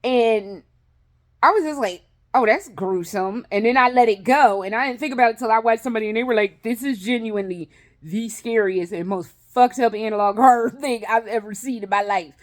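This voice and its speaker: A woman's voice: fairly high pitch, brisk. Rambling, profane woman vlogger. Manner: rambling, profane, laughs often, restarts. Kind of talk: casual rambling vlog chat.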